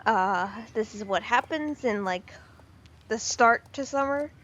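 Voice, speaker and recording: A woman giving casual, excitable game commentary, rambling with fillers, her voice slightly off from the weather. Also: a little high, takes it slowly, dull audio, a little hiss or hum. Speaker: game video creator.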